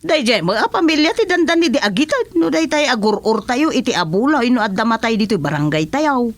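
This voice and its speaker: A woman's voice: a little high, very animated, a little fast, dry recording. A woman with an Ilocano accent playing a chatty village woman in a radio comedy-drama, bringing local news with frequent interjections. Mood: earnest. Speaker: actor in a radio serial.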